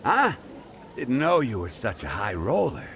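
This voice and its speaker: A man playing a teasing, condescending gambling-den lowlife in a video game cutscene. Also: huge pitch swings, measured pace, a bit bright, heavily acted. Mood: mocking, probing. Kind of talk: dramatic game cutscene dialogue, English dub.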